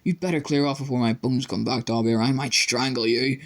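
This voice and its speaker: A man's voice: medium pitch, steady tone, a little fast, close to an everyday voice. A man voicing a teenage schoolboy who talks frankly and sometimes fiercely.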